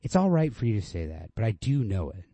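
A man's voice: fairly low pitch, very animated, quick pace, mellow, breathy edge, dry recording, pitched above the performer's own voice, exaggerated voice. A man playing an insistent, anxious young man who emphatically cajoles and placates his girlfriend in a story reading. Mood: pleading, insistent.

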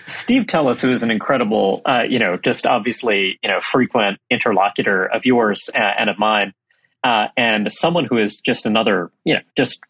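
A man's voice: on the low side, normal speed, a bit bright, slightly rough, no echo, low-quality recording. A man from Brooklyn, New York, heading a think tank, talking in an interview with many fillers and restarts. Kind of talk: conversational interview.

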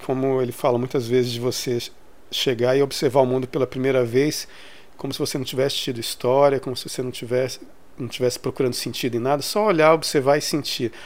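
Brazilian guy on a podcast, normal pitch, talking casual and into it, slangy. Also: fairly even intonation, quick pace. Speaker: podcast host. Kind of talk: casual chat about literature.

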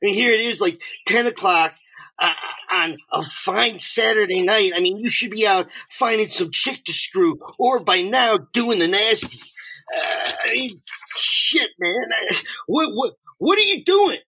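A man's voice: high voice, animated, measured pace, sharp tone, breathy edge, full character voice, low-quality recording. A man playing a desperate, bitter homeless drug addict in an audio drama, agitated and stammering, swearing and sarcastic. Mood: bitter, mocking. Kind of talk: naturalistic two-person audio drama acting.

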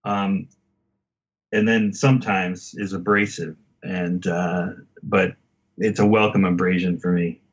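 A man with a fairly low voice talks casually as a podcast guest about an album. He halts and rephrases as he works toward his point. The recording is a little dull and lo-fi. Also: fairly even intonation. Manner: halting, circling back to rephrase.

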